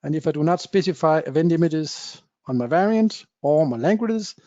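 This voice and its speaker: Man giving a software demo. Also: fairly high pitch, animated, moderate pace, no echo. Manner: plain explanatory talk with frequent uh fillers, non-native phrasing. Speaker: software presenter. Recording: dull dry webinar audio.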